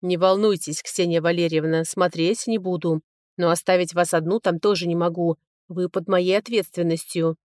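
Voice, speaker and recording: A woman reading the lines of a calm, polite male caregiver in an audiobook novel, soft-spoken and reassuring. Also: on the low side, moderate pace, no room sound, clean studio sound, natural voice. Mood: gentle, reassuring.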